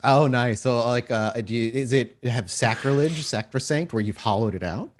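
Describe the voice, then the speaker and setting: A man's voice: medium pitch, normal speed, slight background noise. A man running a tabletop roleplaying game, narrating in wordy, cinematic detail and putting questions to players. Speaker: game master and storyteller.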